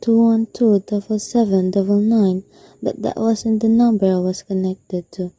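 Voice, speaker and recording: Young woman playing an anxious wife, dark soft tone. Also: medium pitch, level tone, moderate pace, no echo, close to an everyday voice. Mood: insistent. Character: anxious, demanding invalid wife, alone at night. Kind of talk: student radio drama acting.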